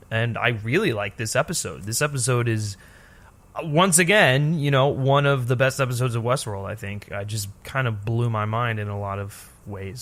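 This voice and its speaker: A man's voice: mid-range voice, sharp tone. A man co-hosting a casual review show, talking in a rambling, conversational way. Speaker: podcast co-host.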